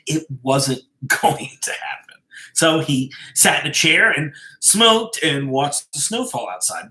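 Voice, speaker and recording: A man reading a story aloud as a dramatic storyteller, deliberate and suspenseful, stressing key phrases and dropping to hushed endings. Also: on the high side, rough recording. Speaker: storyteller, host of a live reading show.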